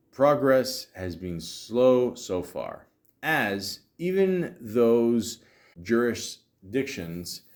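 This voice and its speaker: Low-voiced man, rambling podcaster. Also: darkish tone. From Canada. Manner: rambling, halting, profane, sardonic. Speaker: podcast host. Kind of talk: casual comedic podcast monologue, reading aloud with asides.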